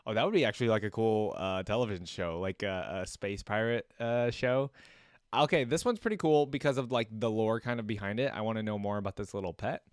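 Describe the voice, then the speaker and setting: A man giving casual gaming reaction commentary as a host, rambling and thinking out loud as he goes. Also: lowish voice, brisk, no room sound, clean studio sound.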